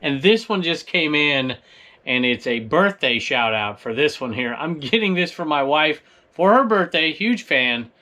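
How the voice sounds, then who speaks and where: A man's voice: on the high side, lively intonation, a little slow, sharp tone. A man in his forties with a Southern US accent, hosting a casual reselling vlog in a chatty, rambling way with frequent chuckles. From Southern California. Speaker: eBay reseller and show host.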